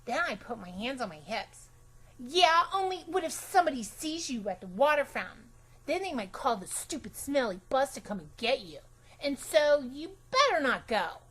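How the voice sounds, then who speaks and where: A woman voicing a chatty, imaginative, bossy little girl in an animated children's storybook read-aloud, with childish, emphatic phrasing. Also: sits high, moderate pace, rough recording. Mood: chatty, scheming.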